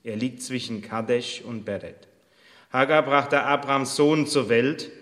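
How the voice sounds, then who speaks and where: A male pastor prays and reads scripture in a measured, solemn voice. His delivery is slow and deliberate, and he sits at a middle pitch. His voice carries a long reverb from a large church space. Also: fairly even intonation, a bit bright. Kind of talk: church service prayer and scripture reading.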